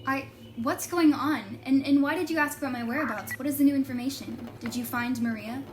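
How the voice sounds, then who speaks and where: A woman's voice: high voice, brisk, noisy background, close to an everyday voice. A woman playing an earnest, determined investigative reporter who gets easily flustered, in a podcast-style audio drama. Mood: alarmed. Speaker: actor and narrator in an audio drama. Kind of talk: podcast-style narration and naturalistic drama dialogue.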